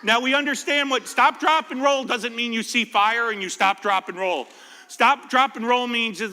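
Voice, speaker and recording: A man's voice: high voice, bright, forceful tone. A man with an American accent telling stories to children in an informal, jokey way, as a firefighter giving a talk. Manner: informal, jokey, asks the listeners questions. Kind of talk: animated storytelling to children. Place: reverberant indoor library room. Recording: reverberant hall.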